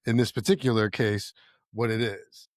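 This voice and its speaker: A man's voice: fairly low pitch, fairly even intonation, moderate pace, a little mellow, dry recording, clean studio sound. A man co-hosting a podcast, asking questions in a rambling, friendly way with frequent restarts. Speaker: podcast co-host. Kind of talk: casual interview chat.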